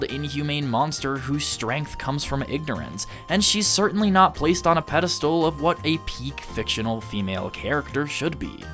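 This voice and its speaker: A man reading a scripted review in the style of a video essay, earnest and measured, with emphatic stresses. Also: on the high side, a bit bright.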